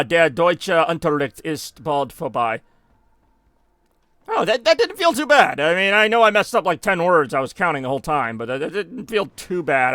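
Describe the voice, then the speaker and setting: A man's voice: fairly high pitch, a bit bright, dry recording, clean studio sound. A man giving rambling, self-mocking live gameplay commentary as a streamer, now and then dropping in German phrases. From United States. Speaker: gaming streamer.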